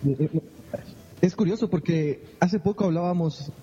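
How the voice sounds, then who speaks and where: A man chatting casually as a podcast guest, speaking haltingly and repeating words. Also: high voice, mellow. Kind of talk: casual chat. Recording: dull, noisy, echoing hall.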